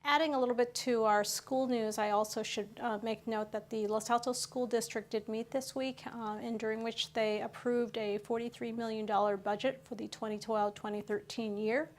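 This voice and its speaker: A woman hosting a local news program, talking through the stories conversationally with frequent pauses and fillers. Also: on the high side, steady tone, a little hiss or hum.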